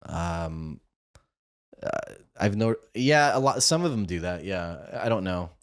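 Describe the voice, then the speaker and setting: A man's voice: low voice, very animated, measured pace. A young man hosting a casual podcast chat, rambling with fillers and cracking off-color jokes. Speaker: podcast host.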